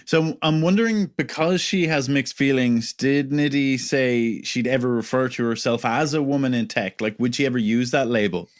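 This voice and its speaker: A man with an average-pitched voice hosts a podcast. He speaks in a conversational way, posing questions and linking segments. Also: normal speed, few pauses. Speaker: podcast host.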